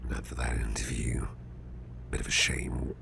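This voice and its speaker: A man playing a gruff, flustered boss in an ASMR roleplay, muttering haltingly in a growly, breathy half-whisper and repeating himself. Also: rumbling low, level tone, noisy background, full character voice. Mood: musing, wary. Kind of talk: ASMR roleplay, spoken softly to the listener.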